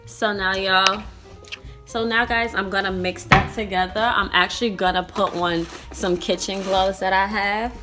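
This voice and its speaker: A woman's voice: mid-range voice, fairly even intonation, a little slow. A young woman in her home kitchen, chatting to viewers as she cooks and explains each step. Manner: chatty, addresses viewers as y'all. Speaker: vlogger cooking at home. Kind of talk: casual cooking vlog narration. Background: music and food sizzling.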